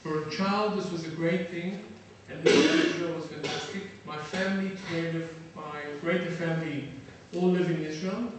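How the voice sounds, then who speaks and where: A middle-aged man from South Africa giving a lecture to an audience, halting often with fillers and restarts. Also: a little high, steady tone. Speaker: defense attaché giving a lecture. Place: lecture hall. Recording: very echoey hall, from far off, faint noise.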